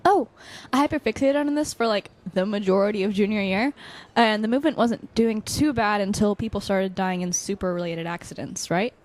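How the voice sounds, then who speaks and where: A woman's voice: mid-range voice, a little fast, a little mellow, lightly acted. A woman playing a chatty, frank young college student in casual audio drama dialogue. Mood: frank, sympathetic. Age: young adult.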